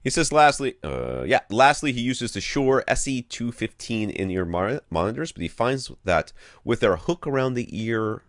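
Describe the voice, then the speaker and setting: A man's voice: mid-range voice, big pitch swings, moderate pace, dry recording, studio quality. A man hosting a casual podcast, reading out questions and thinking aloud with wry, blunt humor. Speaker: podcast host.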